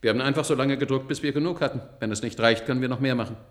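A man's voice: mid-range voice, very fast, lightly acted. An actor playing an eager, naive and loyal male robot in a radio play, speaking earnestly and deferentially. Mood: proud, innocent. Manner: earnest, polite and deferential to his boss. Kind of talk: German radio play acting. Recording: radio play, faint background noise.

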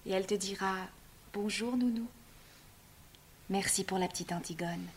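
A young actress voicing a stubborn but tender princess, speaking softly and often in a whisper in a stage drama. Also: female voice, mid-range voice, steady tone, measured pace, slight background noise, close to an everyday voice. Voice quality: soft, often whispered delivery.

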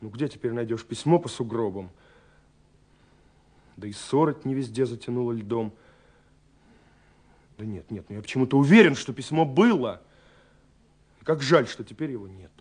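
A man's voice: mid-range voice, a little mellow, lightly acted. An actor playing a dreamy, romantic young man in a radio play, musing tenderly over his love letters in a voice that often sinks toward a whisper. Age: young adult. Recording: 1970s radio recording with music behind. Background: music.

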